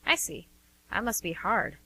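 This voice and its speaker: A woman's voice: mid-range voice, sing-song, measured pace, gravelly, sharp tone, heavily acted. A woman playing a doting, inquisitive older sister, chatting insistently in an amateur radio drama. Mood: puzzled, prodding. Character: doting, nosy older sister of a grown man.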